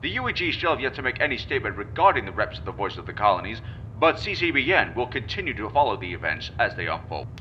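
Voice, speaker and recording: A man's voice: sing-song, fast, sharp tone. An actor playing a serious male news reporter, delivering a formal scripted bulletin in an audio drama. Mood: serious, brisk. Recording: dull radio broadcast, faint rumble.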